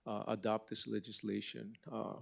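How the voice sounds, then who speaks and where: A man with a lowish, gravelly voice answers council questions about city finances. He stops and restarts often, doubling up his words. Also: monotone. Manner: halting, repeats words.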